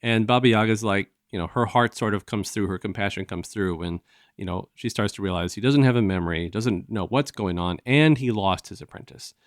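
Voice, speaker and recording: A man with a fairly low voice hosts a casual review discussion. He retells the story conversationally, stopping and restarting, and slips into snatches of dialogue. The sound is dry, with little room around him. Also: fairly quick, no room sound. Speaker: host of a review show.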